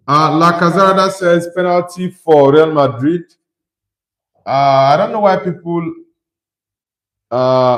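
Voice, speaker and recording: A man's voice: medium pitch, echoey room, rough recording. A young man from Nigeria hosting a casual football livestream, reading viewer comments aloud and answering with his own opinions. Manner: conversational, reads out chat messages and replies with opinions.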